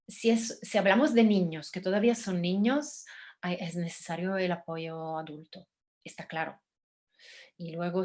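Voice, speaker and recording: A woman from Spain with a Spanish accent, giving calm, gentle advice on a video call, pausing and restarting often. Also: lowish voice. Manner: gentle, halting, with many pauses and restarts. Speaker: guest speaker, survivor. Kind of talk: calm advice in a live talk.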